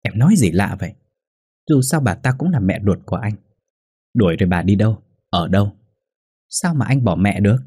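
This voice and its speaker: A man's voice: on the low side, expressive, brisk, pauses often, darkish tone, breathy edge, dry recording, clean studio sound. A man voicing an irritable, henpecked grown son in a narrated story, snapping and growling. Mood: protesting.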